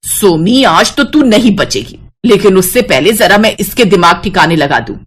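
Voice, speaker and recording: A woman's voice: mid-range voice, animated, normal speed, husky. A woman voicing a bitter, domineering widowed mother-in-law in a cartoon story, taunting and threatening. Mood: furious. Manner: taunting, threatening, sharp-tongued. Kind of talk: Hindi animated moral-story voice acting.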